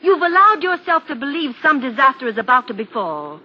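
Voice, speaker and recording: A woman's voice: high-pitched, big pitch swings, a little fast. An actress playing a rational, insistent woman in an old radio drama, articulate, persuasive and dramatic in her delivery. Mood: firm, persuasive. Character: rational, insistent woman reasoning with a frightened man. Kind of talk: 1940s radio drama acting and narration. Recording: dull, noisy old radio broadcast.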